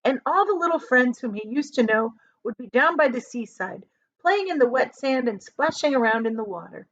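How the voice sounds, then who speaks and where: High, very animated woman reading a storybook. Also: normal speed. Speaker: teacher reading a children's book aloud. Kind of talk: expressive storybook read-aloud. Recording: slightly dull, lo-fi sound.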